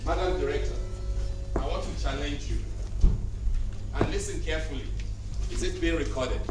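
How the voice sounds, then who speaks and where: A man's voice: fairly high pitch, steady tone, normal speed, loud music behind the voice. A man giving a conference talk in a hotel hall, telling jokey anecdotes and acting out the people in them. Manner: jokey storytelling, acting out quoted voices. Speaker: conference speaker. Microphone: handheld mic, later set down. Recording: echoey hall, speaker far from mic.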